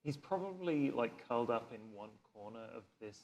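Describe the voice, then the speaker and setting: A man playing in a live tabletop game, describing things casually in a halting, informal way. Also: medium pitch, lively intonation, rough recording. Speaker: player in a tabletop roleplaying game. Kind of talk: casual improvised character description.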